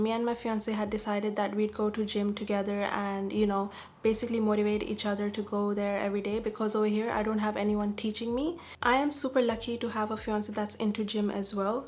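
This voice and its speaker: A young woman from Dubai, calm and soft-spoken, answering viewer questions in a casual beauty vlog. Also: mid-range voice. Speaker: beauty vlogger.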